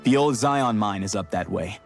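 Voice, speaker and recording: Down-to-earth male miner, low voice, colloquial, cautious, game cutscene, faint music. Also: lively intonation, moderate pace, vocal fry. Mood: helpful warning. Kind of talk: video game cutscene acting.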